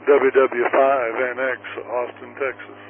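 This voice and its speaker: Slow-speaking man on noisy radio. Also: medium pitch, little pitch movement. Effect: two-way radio. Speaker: amateur radio operator. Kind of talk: brief call-sign announcements. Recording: noisy, narrow-band mobile radio signal.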